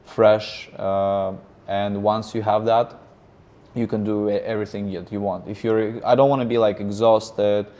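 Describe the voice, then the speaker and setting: A man in his thirties, a guest in an interview, speaking casually and haltingly with many fillers and restarts. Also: lowish voice, little pitch movement, mellow. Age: 30s. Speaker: guest, freelancer.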